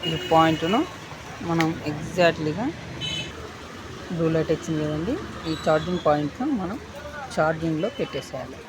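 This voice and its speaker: A man's voice: a little high, fairly even intonation, fairly quick, pauses often, noisy background. A man gives an informal, step-by-step product demonstration, often repeating phrases as he instructs. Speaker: product unboxing presenter.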